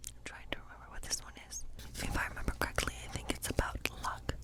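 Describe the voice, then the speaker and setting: Whispering woman in a witchy ASMR tarot role-play. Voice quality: breathy whisper. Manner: unhurried, musing, reassuring. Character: friendly woodland witch reading tarot, gentle and curious.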